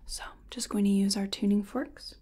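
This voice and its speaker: A woman whispering and softly murmuring in a breathy, gentle, soothing way, as an energy healer in an ASMR personal attention roleplay. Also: mid-range voice, fairly even intonation, moderate pace.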